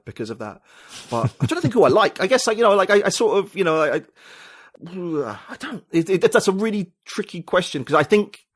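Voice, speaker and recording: A man's voice: mid-range voice, no room sound. Rambling man, dry close sound. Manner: rambling, many restarts and fillers, thinks aloud. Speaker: podcast guest, writer and poet. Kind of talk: casual conversational interview.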